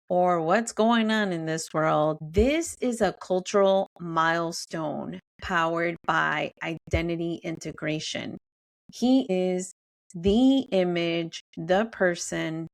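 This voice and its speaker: A middle-aged woman hosting a podcast, talking straight to her listeners in an emphatic, conversational way. Her voice sits on the low side with a gravelly fry running through it, and she often stops to ask the audience questions. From Mexico. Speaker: podcast host. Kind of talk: casual monologue.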